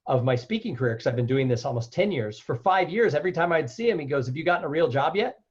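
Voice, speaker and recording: A man guest on a podcast interview, a loud, animated storyteller who acts out the voices of people he quotes. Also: a little high, quick pace, breathy edge, highs cut off. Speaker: guest, keynote speaker.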